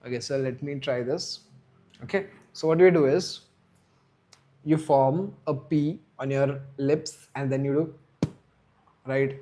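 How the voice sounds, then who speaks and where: Male streamer, 30s, mid-pitched, loose rambling chat with viewers. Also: a little slow, long pauses, dark tone. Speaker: livestream host. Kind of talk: casual live stream chat.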